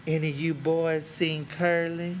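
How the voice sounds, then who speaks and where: Man putting on a sly American ranch wife, raised pitch. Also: flat delivery, unhurried, a little hiss or hum, lightly acted. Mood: sly, taunting. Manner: taunting, amused.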